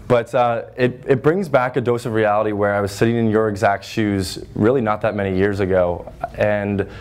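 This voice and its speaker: A man in his twenties from Northern Ontario with a loud voice, giving an earnest talk to a high school class with lots of fillers and restarts. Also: fairly even intonation, moderate pace, clean tone. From Northern Ontario, Canada. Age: 20s. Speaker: guest speaker. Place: high school classroom with chalkboards, audience seated.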